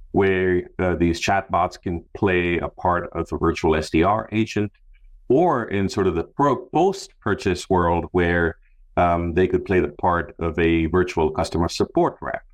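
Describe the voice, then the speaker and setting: A male podcast host with a low voice. He speaks in a conversational way, as one does when introducing topics and putting questions to a guest. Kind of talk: conversational interview hosting.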